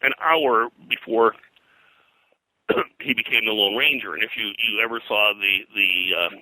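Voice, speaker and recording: A man's voice: lowish voice, a little slow, bright, forceful tone, no room sound, rough recording. An older man being interviewed as a historian, telling anecdotes in a rambling, hesitant way full of digressions. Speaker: pop culture historian, interview guest.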